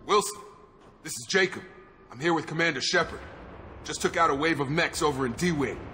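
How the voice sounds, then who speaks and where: A man's voice: fairly high pitch, fairly quick, pushed and bright, a little hiss or hum. A man acting an earnest, loyal soldier in a video game, speaking directly and firmly. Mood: urgent, businesslike.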